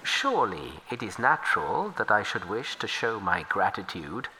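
A man's voice: fairly low pitch, big pitch swings, moderate pace, a little hiss or hum, full character voice, low-quality recording. A male storyteller voicing a huge, grateful old river turtle who speaks humbly and earnestly. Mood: humble, earnest. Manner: humble, soft-spoken, earnest.

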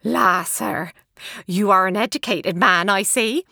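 A woman's voice: mid-range voice, unhurried, sharp tone, no room sound, clean studio sound. Woman putting on a gushing, nosy English country lady. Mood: arch, teasing. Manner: prying, chattering, affected politeness.

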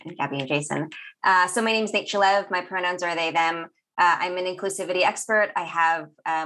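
A consultant from Staten Island with a New York accent, chatting relaxed and jokey as a guest on a video call panel. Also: male voice, fairly high pitch.

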